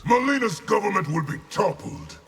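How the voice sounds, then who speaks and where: High, gravelly, furious warlord general. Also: male voice, sing-song, moderate pace, fairly bright tone, heavily acted. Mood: scornful. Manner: scornful, aggressive, declamatory. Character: boastful, brutal warlord general with a furious temper. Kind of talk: video game character acting.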